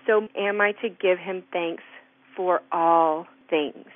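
A woman calling in to a radio show, asking a faith question in a slow, heartfelt way. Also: fairly low pitch, steady tone, no room sound. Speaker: caller. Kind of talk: phone-in question. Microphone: telephone. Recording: tinny phone line.

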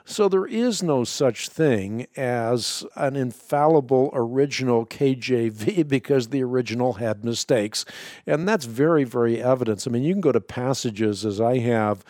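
Dutch-native male radio host, authoritative explainer, mellow tone, dry studio. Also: mid-range voice, no echo. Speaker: radio show host answering callers. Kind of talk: call-in radio Bible answers.

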